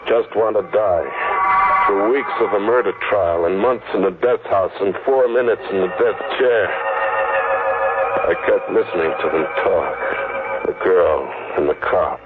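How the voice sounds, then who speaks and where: Haunted fugitive, played by a male actor: low voice, intense 1940s thriller delivery, lo-fi broadcast sound. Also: a little slow, natural voice. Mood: haunted, paralyzed dread. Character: paranoid fugitive man, cracking up, convinced he is being tricked. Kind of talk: 1940s radio thriller acting with first-person narration. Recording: muffled vintage radio recording, loud music behind.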